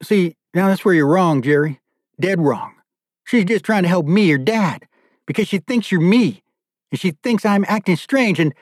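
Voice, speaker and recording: A man's voice: lowish voice, lively intonation, moderate pace, husky, dry recording, clean studio sound. A man voicing a desperate captive father in an audiobook, weary and pleading yet bitterly defiant. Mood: bitter, insistent. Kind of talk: audiobook character dialogue.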